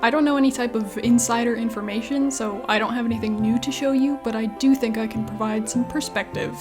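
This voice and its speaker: A woman's voice: a little high, steady tone, a little fast, music in the background. A woman hosting a scripted video commentary on games, with wry, self-deprecating asides. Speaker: video essayist, YouTube host.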